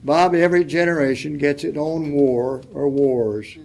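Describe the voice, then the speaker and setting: An older man reading a letter aloud as a panelist at a public forum, soft-spoken and reflective. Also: a little high, a little slow, creaky, rough recording. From United States.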